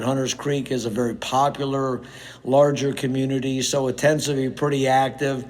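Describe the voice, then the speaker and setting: A middle-aged man hosting his own podcast in a casual, conversational way, sounding a little hoarse and nasal from a cold. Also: mid-range voice, darkish tone. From United States. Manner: conversational, with frequent fillers. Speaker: podcast host, real estate agent. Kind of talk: casual solo podcast talk.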